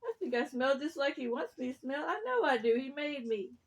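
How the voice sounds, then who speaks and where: A woman's voice: high-pitched, big pitch swings, brisk, voice pushed up. A woman voicing a big, proud, naive rhinoceros in a children's story, earnest and full of wonder.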